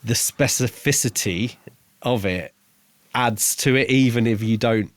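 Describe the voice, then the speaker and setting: A man's voice: mid-range voice, measured pace, no echo. A man co-hosting a podcast, explaining his points in short phrases during a casual book discussion. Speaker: podcast co-host reviewing books.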